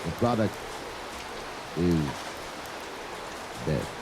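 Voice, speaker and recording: Cold, low-voiced Martian villain, measured and polite, vintage radio drama over rain. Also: male voice, full character voice. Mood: menacing. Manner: calm, measured and menacing. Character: cold, smooth Martian infiltrator posing as a man. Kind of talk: 1950s radio drama acting. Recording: old radio transcription under loud rain noise.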